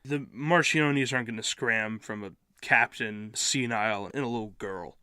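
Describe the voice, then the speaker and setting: A man playing a busy, worried policeman in an audio drama, talking briskly and colloquially as he gives orders. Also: mid-range voice, sharp tone, clear, resonant tone, dry recording, studio quality, lightly acted.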